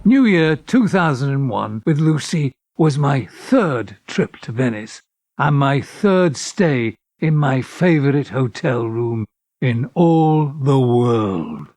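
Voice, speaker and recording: A man playing a wry, elderly man with a gravelly voice, narrating his memories in an audio drama. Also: medium pitch, darkish tone, dry recording, clean studio sound. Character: wry elderly man recalling his past love affairs. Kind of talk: first-person dramatic narration.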